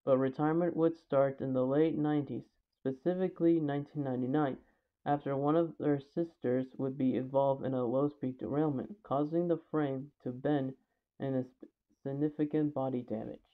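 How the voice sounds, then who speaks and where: A man's voice: mid-range voice, steady tone, normal speed. A man reading scripted documentary narration, occasionally stumbling over the script. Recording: tinny, phone-like narrow band.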